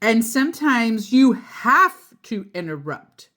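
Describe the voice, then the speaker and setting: A woman's voice: on the high side, very animated, takes it slowly, bright, forceful tone, pitched above the performer's own voice, heavily acted. A woman voicing a grumbling, self-important father bear from a storybook, indignant and emphatic. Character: grumbling, indignant father bear, pompous and set in his ways.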